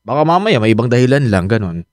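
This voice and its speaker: Man performing suspicious Filipino husband, radio drama, mid-pitched, animated, very dry sound. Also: fast talker, dry recording, lightly acted. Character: teasing, suspicious, jealous husband.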